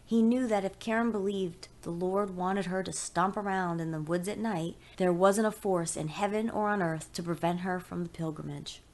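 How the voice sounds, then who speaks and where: Female audiobook-style narrator, lowish pitch, scripted fiction reading. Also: fairly even intonation, normal speed, few pauses, a little mellow. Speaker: story narrator.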